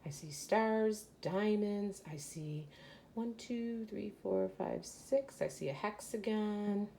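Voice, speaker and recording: Slow-paced woman teacher reading aloud. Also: mid-range voice, gravelly, background noise. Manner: explanatory, addressing children. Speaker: third grade teacher. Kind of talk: read-aloud of a children's math book with teaching asides.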